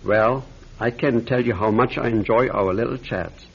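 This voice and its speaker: An actor playing a cunning, friendly-seeming officer who speaks English in a vintage radio drama. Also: male voice, mid-range voice, normal speed, creaky, husky, lo-fi sound. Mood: coaxing. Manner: friendly, coaxing, quietly manipulative. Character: smooth, cunning German officer interrogator. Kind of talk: 1940s radio acting.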